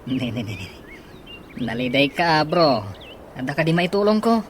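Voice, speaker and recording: Supportive young best buddy, Ilocano accent, radio serial style, faintly noisy track. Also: male voice, a little high, big pitch swings, normal speed. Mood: consoling. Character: supportive young male buddy, consoling and upbeat. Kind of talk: Ilocano radio drama acting.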